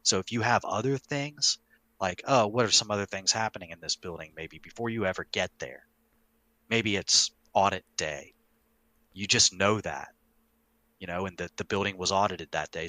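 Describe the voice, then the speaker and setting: A man's voice: low-pitched, normal speed, pushed and bright, no room sound, poor audio. A man speaking as a podcast host and game master, casually explaining how to run tabletop game stories.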